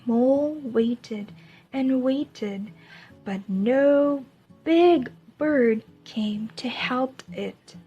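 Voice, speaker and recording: A woman teacher tells a children's story at a very slow, deliberate pace. Her voice is on the high side and husky, with faint music playing behind it. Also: warm tone, muffled recording. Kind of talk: children's storybook read-aloud.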